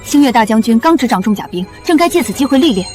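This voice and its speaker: A proud, fierce young female general from a period war drama, played by a woman. Her high voice swings widely in pitch, delivered with martial formality over clear dramatic music. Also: lively intonation, quick pace, lightly acted. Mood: formal, resolute. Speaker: actress in a costume short drama. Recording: dramatic music under the dialogue.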